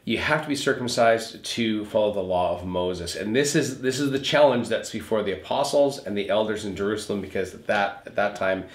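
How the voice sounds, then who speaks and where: A man's voice: on the low side, a little fast, hardly any pauses. Roomy-sounding male pastor explaining scripture. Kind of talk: conversational sermon recap, explanatory. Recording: roomy echo.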